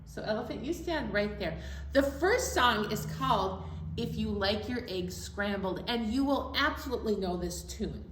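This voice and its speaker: A woman's voice: medium pitch, lively intonation, moderate pace. A woman hosting a children's storytime, chatting and explaining things cheerfully to young children. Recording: echoey room, distant mic, faint noise.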